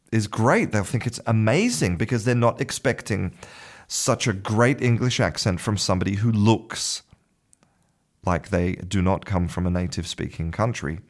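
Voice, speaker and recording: A man teaching an English lesson, explaining things in a measured way and often repeating words as he searches for the next one. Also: fairly low pitch.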